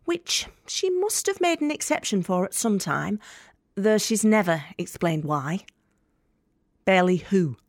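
An actress playing a blunt, working-class Northern English debt collector in an audio drama monologue, deadpan and sardonic as she confides in the listener. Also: medium pitch, no echo, clean studio sound. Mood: wry, sardonic. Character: blunt, wry young working-class female debt collector with ailing mother.

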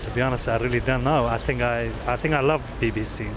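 A man originally from Kurdistan talks easily in a street interview. Traffic and city noise are clearly heard behind him. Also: mid-range voice, quick pace. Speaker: member of the public. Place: outdoors on a street.

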